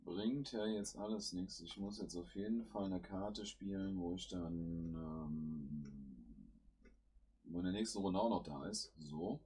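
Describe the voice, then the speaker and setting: Male gameplay host, has a cold, very low and husky voice, talks through each move at home. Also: takes it slowly, mellow. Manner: thinks aloud while playing, with fillers. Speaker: gaming channel host. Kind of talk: casual gameplay commentary.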